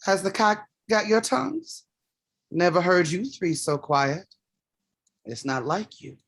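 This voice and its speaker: A woman's voice: on the low side, animated, takes it slowly, vocal fry, exaggerated voice. A woman playing a weary, proud, commanding mother in a staged play reading. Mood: weary, curt. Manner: blunt, commanding. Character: weary, proud mother, playwright at odds with her demons.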